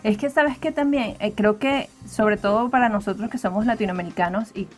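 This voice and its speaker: A woman's voice: medium pitch, fairly quick, soft background music. A Venezuelan woman speaking as a podcast guest, telling her story in a reflective way with frequent fillers. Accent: Venezuelan Spanish. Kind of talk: conversational interview.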